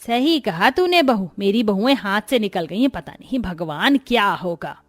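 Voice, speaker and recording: A woman's voice: mid-range voice, expressive, moderate pace, dry recording, natural voice. A woman voicing a worried, stern older mother-in-law in a cartoon, scolding and pleading. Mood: upset. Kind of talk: cartoon voice acting.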